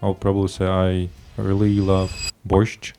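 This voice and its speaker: A young man from Ukraine, a student contestant, answering quickly with hesitant fillers. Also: low voice, completely flat delivery, warm tone, faint music behind. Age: young adult.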